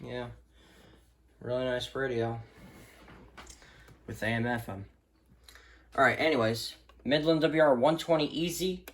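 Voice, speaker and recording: Low-key man giving a casual show-and-tell. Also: steady tone, unhurried, long pauses, slight background noise. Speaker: vlogger showing a weather radio collection. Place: indoors, rooms at home.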